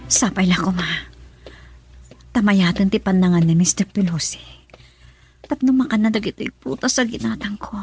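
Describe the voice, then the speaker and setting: A woman's voice: medium pitch, normal speed, long pauses, a little mellow, faint music behind, natural voice. A woman acting an anxious caregiver in an Ilocano radio drama. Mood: worried, murmuring.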